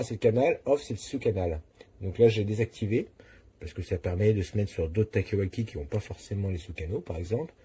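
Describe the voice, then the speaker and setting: A man's voice: on the low side, dark tone, slightly rough. A man giving a casual, unscripted product review, talking colloquially and thinking aloud as he demonstrates.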